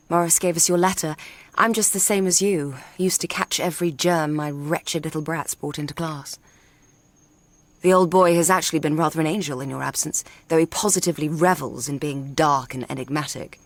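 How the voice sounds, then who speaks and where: A woman's voice: sits low, moderate pace, no echo. A woman playing an affectionate, playful young schoolteacher, voicing a letter to her lover in a chatty, intimate radio drama manner.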